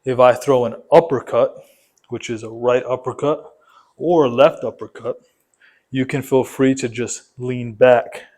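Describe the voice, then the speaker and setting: A man's voice: mid-range voice, a little mellow. A man coaching a boxing workout, explaining it methodically, step by step, in an instructional style.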